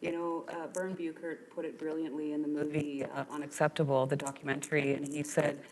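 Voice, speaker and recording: A woman from Canada in middle age, with a somewhat low voice. She speaks sincerely and casually in conversation, with frequent "you know" fillers. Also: little pitch movement, brisk, darkish tone, slight background noise. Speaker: guest. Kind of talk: conversational interview.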